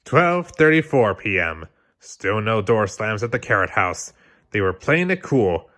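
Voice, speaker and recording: A man reading a children's storybook aloud as scripted, expressive narration. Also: fairly low pitch, a little slow. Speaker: storybook reader.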